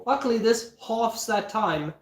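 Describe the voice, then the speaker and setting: A man chatting casually on a cooking livestream while losing his voice, rambling and often clearing his throat. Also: high voice, lively intonation, measured pace. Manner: rambling, frequent throat-clearing, easy laughter. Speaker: livestreaming home cook. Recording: echoey room, mic at a distance.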